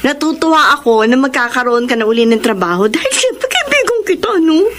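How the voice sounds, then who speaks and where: A Filipino actress playing a friendly, teasing storekeeper friend in a radio drama. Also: female voice, sits high, very animated, brisk, fairly bright tone. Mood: warm, teasing.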